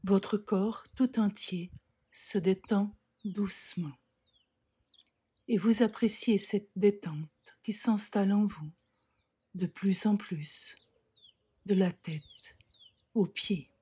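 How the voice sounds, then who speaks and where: A woman guiding a yoga nidra relaxation in a calm, soft, breathy voice, giving measured instructions with frequent pauses. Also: mid-range voice. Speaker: yoga nidra meditation guide. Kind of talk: slow guided relaxation meditation.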